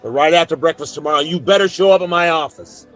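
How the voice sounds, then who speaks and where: An actor playing a plainspoken, fair-minded frontier marshal with authority in a radio western. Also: male voice, on the high side, fast talker, fairly bright tone, a faint crowd, natural voice. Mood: stern, measured. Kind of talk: old-time radio western acting.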